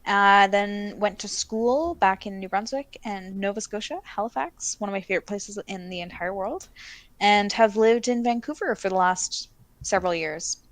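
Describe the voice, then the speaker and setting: A woman's voice: medium pitch, moderate pace, no echo. A woman in her thirties from Canada with a Canadian accent, co-hosting a live stream in casual chat, talkative with frequent fillers and laughter. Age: 30s. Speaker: co-host of a missing-persons livestream.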